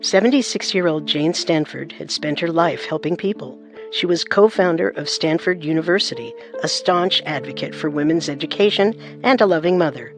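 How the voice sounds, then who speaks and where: A female podcast host narrating in a clear, measured storytelling manner. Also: low voice, over music. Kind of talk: scripted narration.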